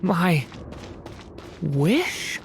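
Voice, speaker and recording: Slow, hesitant young dragon boy. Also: fairly low pitch, big pitch swings, heavily acted. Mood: lost. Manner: hesitant, halting. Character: gentle young dragon boy, earnest and uncertain. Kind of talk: dramatic video game voice acting. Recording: faintly noisy game capture.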